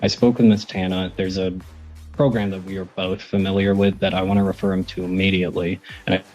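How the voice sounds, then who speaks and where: A man with a deep voice argues to a judge as a defense lawyer. He is halting and deferential, with little pitch movement, on dull courtroom audio with faint music underneath. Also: flat delivery, darkish tone. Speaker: defense attorney.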